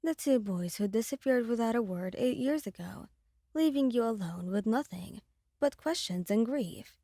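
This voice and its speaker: A woman's voice: fairly high pitch, lively intonation, moderate pace, mellow, no room sound, studio quality. A woman reading scripted dramatic narration as the storyteller of an ASMR audio roleplay.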